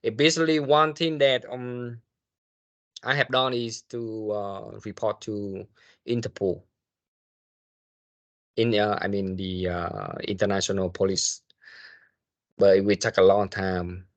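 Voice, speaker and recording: A man, speaking haltingly as he answers questions in an online meeting as a guest investigator. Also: lowish voice, little pitch movement. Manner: halting, hesitant phrasing.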